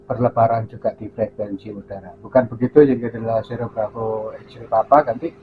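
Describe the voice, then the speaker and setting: A man's voice: lowish voice, fairly even intonation, brisk, phone-quality sound, noisy background. A man chatting casually as a ham radio operator over a repeater, with call signs and radio jargon.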